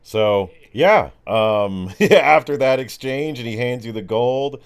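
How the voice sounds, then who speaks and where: A man's voice: mid-range voice, animated, slow pace, clean tone. A man casually narrating and refereeing a tabletop game as game master, in an informal, rambling way.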